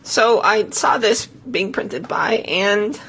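Hoarse, creaky woman podcaster. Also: mid-range voice, expressive, slow, fairly bright tone, slight background noise, rough recording. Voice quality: hoarse, gravelly. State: hoarse, losing voice. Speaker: podcast host. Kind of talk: casual tech storytelling.

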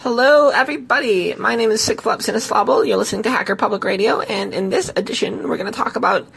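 A woman podcast host with a hoarse, failing voice tells a tech story casually, in a halting, self-correcting way. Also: a little high, fairly bright tone, soft background music, poor audio. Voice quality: hoarse, gravelly. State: hoarse, losing voice.

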